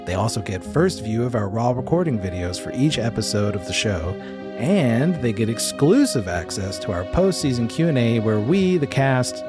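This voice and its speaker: A man hosting and narrating a horror tabletop game as game master, with a suspenseful storytelling delivery. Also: mid-range voice. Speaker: game master and show host.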